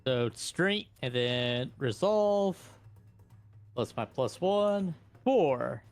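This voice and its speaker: A man's voice: medium pitch, lively intonation, extremely slow, faint music behind. A man talking casually as a player in a tabletop roleplaying game.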